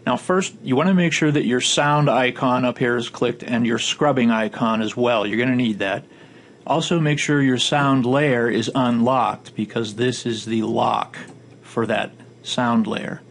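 A man giving informal, step-by-step instructional narration as a software tutorial instructor, with a gravelly voice. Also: mid-range voice, measured pace. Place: indoor voice-over for a screen recording. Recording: faint background noise.

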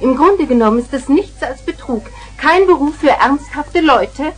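A sceptical schoolmistress from a 1970s children's radio drama. Her voice sits a little high and she speaks in a brisk, questioning, no-nonsense manner. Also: expressive, faint music behind, poor audio. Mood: stern, dismissive. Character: sceptical, brisk female schoolteacher, sternly practical. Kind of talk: 1970s German children's radio play acting.

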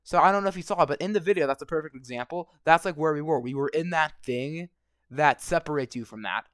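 Young male gamer, slightly high voice, hoarse and angry, swearing nonstop over a dry close mic. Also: fast, fairly bright tone, no echo. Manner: angry, swearing constantly, rambling. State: voice going hoarse. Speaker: gaming vlogger. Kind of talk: profane ranting commentary over gameplay.

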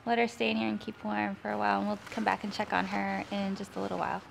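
A woman in her thirties narrating a casual homestead vlog in a gentle, soft-spoken way, recorded on a handheld camera indoors. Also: medium pitch, level tone, fast talker, creaky, background noise. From Missouri, USA. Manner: soft-spoken, gentle, talks to animals and children. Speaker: homesteading vlogger. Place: farm building and home kitchen, indoors. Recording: music playing under the voice. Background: background music.

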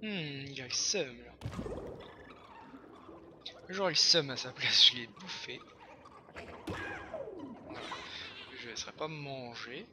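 Hoarse young man, casual game commentary, chatty and excitable, home mic, audible hiss. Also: medium pitch, takes it slowly, pauses often. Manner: chatty, excitable, full of fillers and repeats. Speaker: gameplay commentator. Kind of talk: casual let's-play commentary.

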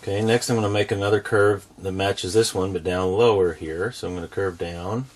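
Low-pitched American man teaching drawing. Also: fairly even intonation, a little fast. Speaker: drawing instructor and show host. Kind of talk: step-by-step drawing tutorial for children.

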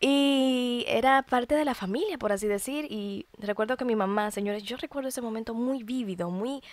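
A Dominican woman in her early thirties hosting a podcast, telling a personal testimony in a heartfelt, expressive way with colloquial asides. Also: fairly high pitch, normal speed, no echo. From Dominican Republic. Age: early 30s. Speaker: podcast host.

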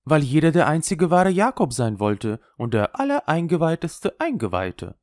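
A sly old conjurer with an Italian accent, worldly and cynical, mocking everything he has seen, as a man puts him on. His voice leaps and dips with lively expression. The sound is dry and close. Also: a little high, normal speed, no room sound, voice pushed up.

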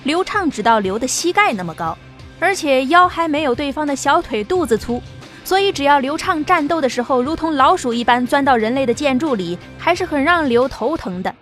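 A woman narrating an audio drama in a steady storytelling manner. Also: sits high, a little fast, soft background music.

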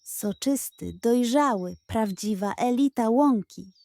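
A woman voicing a cheeky, greedy male pug dog in a children's story, wheedling, excitable and dramatic. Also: fairly high pitch, takes it slowly, gravelly, no echo.

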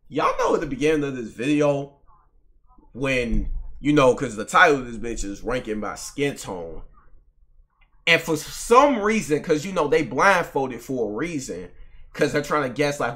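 A man's voice: medium pitch, fairly bright tone. Young man ranting casually to his stream chat. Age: young adult. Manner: loose, profane, self-mocking rambling. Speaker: streamer reacting to a video. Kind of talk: casual reaction commentary to chat. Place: home room at a desk.

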